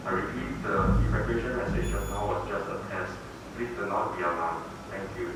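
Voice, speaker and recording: A man giving a hands-on spoken demonstration as an instructor, explaining in a halting, repetitive way. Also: low voice. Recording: echoey room, distant mic, noisy background.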